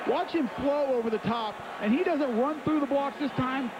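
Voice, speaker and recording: Male color analyst, high voice, loose and talky, crowd roaring in the background. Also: moderate pace. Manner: conversational, repeats words, says "I mean" often. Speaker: color commentator. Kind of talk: sports analysis. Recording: broadcast booth over loud crowd noise.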